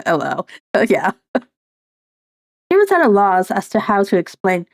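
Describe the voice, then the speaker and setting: A woman's voice: mid-range voice, huge pitch swings, a little slow. A woman narrating a visual novel playthrough, reading story prose aloud and dropping in casual asides.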